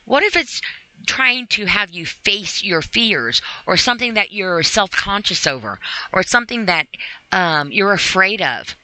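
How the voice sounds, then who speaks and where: Female self-help podcast host, bright forward voice, close dry sound, earnest and informal. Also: medium pitch, animated, unhurried, no echo, poor audio. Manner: conversational, earnest, rhetorical questions to the listener. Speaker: podcast host reading and commenting on a sobriety e-book. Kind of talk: reading aloud with informal motivational commentary.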